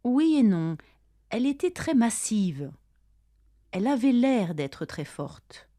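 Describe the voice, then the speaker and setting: A woman reading her part of a scripted dialogue for language learners, speaking carefully and deliberately, each line said twice. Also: a little high, very animated, warm tone, no room sound, studio quality. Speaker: language-lesson dialogue reader.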